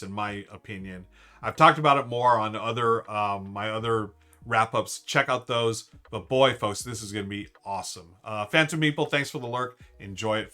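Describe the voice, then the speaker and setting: A man's voice: lowish voice, sharp tone. A man hosting a casual live stream, ranking board games in an enthusiastic, rambling way with lots of fillers and restarts. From United States.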